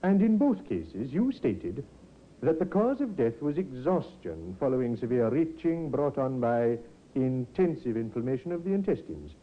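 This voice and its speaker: Casting: probing police inspector, insistent, medium-pitched with very varied intonation, vintage radio crime play. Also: male voice, big pitch swings, moderate pace. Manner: clipped, insistent, questioning. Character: probing, impatient male police inspector, brisk and persistent. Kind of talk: 1950s radio drama acting. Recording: dull vintage radio recording.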